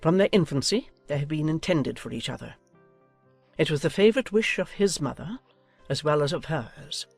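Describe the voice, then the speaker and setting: A woman's voice: low-pitched, animated, normal speed, gravelly, husky, no echo, faint music behind, heavily acted. Woman playing a haughty, imperious older aristocratic lady, close and dry. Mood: indignant. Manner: imperious, declamatory, emphatic. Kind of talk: dramatized audiobook acting.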